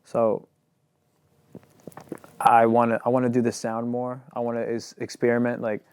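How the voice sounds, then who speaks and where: A man's voice: mid-range voice, monotone, moderate pace, a little mellow, slight background noise. A young man, a rapper and singer, talking casually in an interview, rambling and filling his sentences with "like". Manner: loose and rambling, heavy on "like".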